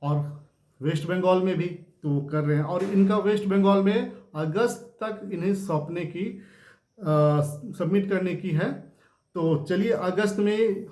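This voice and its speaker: A man with a notably high voice and an Indian accent presents informal news commentary. He explains things to viewers, repeating phrases and often tacking on a short checking question. The sound is clearly echoey, as in a reverberant room. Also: unhurried, warm tone. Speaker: host of a political news commentary channel.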